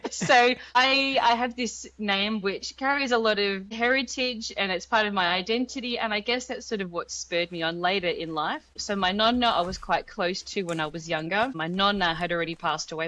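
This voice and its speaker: A woman's voice: lowish voice, pushed and bright, dry recording, rough recording. A woman from Australia with an Australian accent, chatting informally as an interview guest and telling personal stories. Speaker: interview guest, language guide founder. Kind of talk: casual personal storytelling in an interview.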